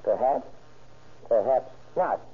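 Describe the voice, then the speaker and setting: Husky, halting businessman, old radio. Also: mid-range voice, animated. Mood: probing, polite. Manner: halting, clipped non-native phrasing with polite hesitations. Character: polite, guarded Chinese businessman running shady letters. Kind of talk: old-time radio crime drama acting. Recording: muffled, lo-fi old radio recording.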